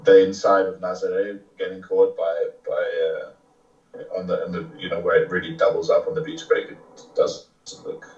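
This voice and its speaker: A man with a very low voice talks in a hesitant way, full of pauses and restarts, as a guest in a relaxed chat. He sounds far off and muffled. The room around him is echoey. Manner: hesitant, many fillers and restarts. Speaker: guest, surfer. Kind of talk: casual interview chat.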